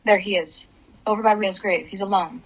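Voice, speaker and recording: A woman's voice: medium pitch, steady tone, moderate pace, a little hiss or hum, close to an everyday voice. Woman playing menacing vengeful mother, dull lo-fi sound. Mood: grim, determined. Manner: intense, commanding. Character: grief-crazed vengeful mother with a gun, menacing. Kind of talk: dramatic radio play acting with narration.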